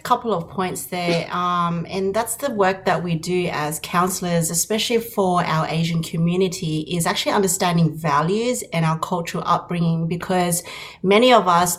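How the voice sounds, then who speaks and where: A woman's voice: on the low side, fairly bright tone. A woman hosting an interview podcast as a counsellor, talking in an effusive, rambling way full of restarts. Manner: effusive, many restarts and fillers. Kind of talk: casual interview.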